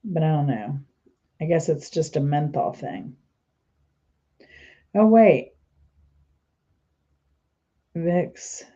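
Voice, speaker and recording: Woman host, stuffy and congested, casual rambling chat, low voice, dull lo-fi recording. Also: animated, drawn-out words, long pauses, dark tone. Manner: chatty, rambling, trails off mid-sentence. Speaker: channel host.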